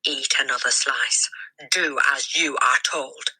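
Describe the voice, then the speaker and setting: A tyrannical headmistress from a children's story, as a woman reader acts her out. Her voice is harsh and husky, very forceful, and she stretches out her commands. She is sneering and explosive, a bully through and through. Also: rumbling low, fairly even intonation, heavily acted, low-quality recording. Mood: sharp, menacing. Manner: commanding, drawn-out, shouted orders. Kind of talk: children's storybook read-aloud, character voice.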